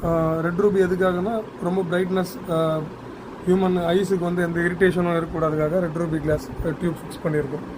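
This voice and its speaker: Male product demonstrator, high register, little intonation, halting unscripted explanation with English terms, noisy recording. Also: flat delivery. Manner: halting, repeats words while explaining.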